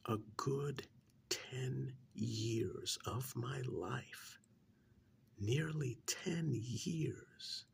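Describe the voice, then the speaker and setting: A man playing a cold, sadistic serial killer in a soft-spoken ASMR role-play, speaking slowly and menacingly in a breathy, gravelly whisper with deliberate pauses. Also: medium pitch, pitched above the performer's own voice, exaggerated voice. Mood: calm gloating.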